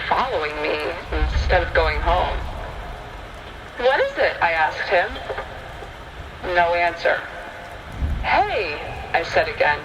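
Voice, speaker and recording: Slow, high-pitched man telling horror. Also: background noise. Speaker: storyteller. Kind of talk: scripted first-person horror narration. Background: rain and thunder ambience.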